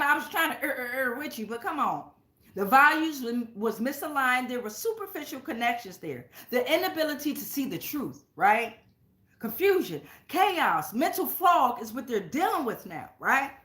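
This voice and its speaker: A woman's voice: fairly high pitch, sharp tone. Emphatic woman reading tarot in an echoey room. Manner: emphatic, blunt, freely swearing, addressing viewers directly. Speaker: tarot reader, channel host. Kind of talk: casual spiritual tarot reading to camera. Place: indoors at home.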